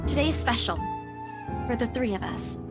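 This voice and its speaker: A woman playing a bubbly, easily flustered young woman in a visual novel game, with expressive delivery. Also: a little high, moderate pace, over music, natural voice. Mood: cheerful. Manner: animated, expressive.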